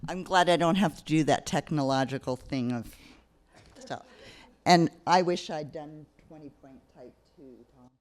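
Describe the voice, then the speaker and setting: A woman's voice: deep voice, measured pace, darkish tone. A woman writer giving wry, informal remarks at a microphone at a live event before reading her story. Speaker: writer presenting own story. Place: live community event in front of an audience.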